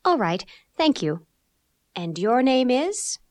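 A woman voicing a polite receptionist who takes names in a scripted textbook dialogue, speaking clearly and deliberately. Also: high voice, big pitch swings, darkish tone, dry recording, exaggerated voice. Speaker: office receptionist.

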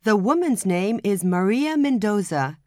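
Slow, clear woman announcer. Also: medium pitch, lively intonation, dark tone, dry recording, studio quality. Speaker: narrator. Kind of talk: scripted textbook narration.

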